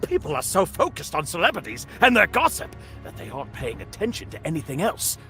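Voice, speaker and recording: A man's voice: a little high, wildly swooping pitch, fairly quick, pushed and bright, faint music behind. A man narrating a comic book table read in a dramatic, announcer-like cartoon style.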